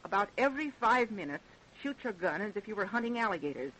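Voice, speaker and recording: An actress playing a scheming, impatient wife in a radio drama, speaking with insistent, scornful urgency. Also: female voice, mid-range voice, sing-song, quick pace, fairly bright tone, rough recording. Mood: coldly scheming. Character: scheming, impatient wife plotting her husband's murder. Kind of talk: old-time radio drama acting.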